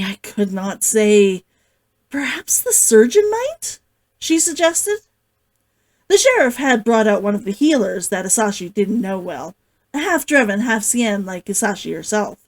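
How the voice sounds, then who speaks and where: A woman with an expressive way of talking, hosting a casual read-along as an author. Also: medium pitch. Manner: giggly, gushing, expressive. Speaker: host, author reading along. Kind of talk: casual livestream chat and novel read-aloud.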